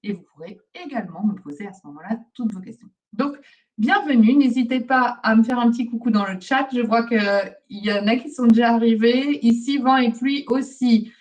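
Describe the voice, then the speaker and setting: A woman's voice: fairly high pitch, moderate pace, darkish tone, recorded from a distance. A woman from Belgium giving an informal live question-and-answer lesson as a host and trainer, chatty and encouraging as she explains. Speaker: host, pharmacist and aromatherapy trainer.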